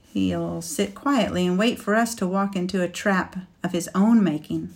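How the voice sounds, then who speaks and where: Aina, a bitter young warrior woman full of grief and fury, voiced by a woman reading a storybook, speaks with fierce passion that swells toward a shout. Her tone sits a touch low for a woman. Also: normal speed, creaky. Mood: lecturing. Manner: passionate, rising to near shouting. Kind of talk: audiobook character reading.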